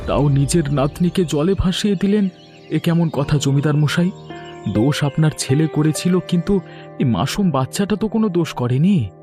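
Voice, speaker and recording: A man as a meek, higher-voiced village fisherman. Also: lively intonation, moderate pace, mellow, soft background music, natural voice. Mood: earnest. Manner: meek, pleading. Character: humble, deferential poor village fisherman and adoptive father. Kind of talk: dramatic cartoon story acting.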